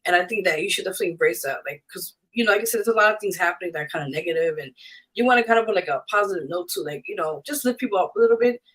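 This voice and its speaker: A woman's voice: on the low side, racing, a bit bright, breathy edge, rough recording. A woman hosting a casual interview chat in an encouraging, supportive manner. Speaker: radio show host.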